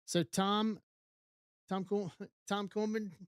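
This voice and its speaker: Slow-talking woman host, dry sound. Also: mid-range voice, fairly even intonation, clear, resonant tone, no room sound. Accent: East Coast American. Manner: slow, reading out a list in short bursts. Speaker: card break host. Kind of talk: live stream chat.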